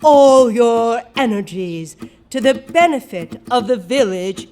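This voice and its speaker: Woman acting a slow, solemn cat village leader. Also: fairly high pitch, expressive, warm tone. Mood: solemn, stern. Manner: ceremonial, formal, deliberate. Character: solemn, stern female cat leading a village commune. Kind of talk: audio drama acting.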